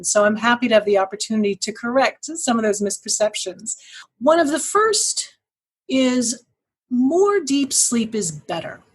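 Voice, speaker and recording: A woman guest giving articulate, measured explanations as a sleep clinician. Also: fairly high pitch, breathy edge. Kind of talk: explanatory interview answers.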